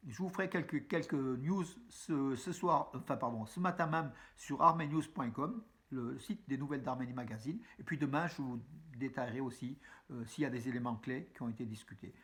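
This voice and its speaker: A man delivers a casual news roundup straight to his audience. He speaks in a chatty, halting way, full of fillers and restarts, and keeps calling his viewers friends. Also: medium pitch, bright, forceful tone. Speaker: news vlogger. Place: room with a map on the wall behind him.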